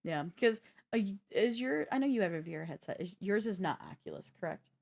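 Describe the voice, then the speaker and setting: A woman's voice: medium pitch, lively intonation, brisk, creaky, a little mellow. A woman co-hosting a gaming news show, talking to her audience in a casual, conversational way while partly reading from notes. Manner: conversational, addresses the audience directly.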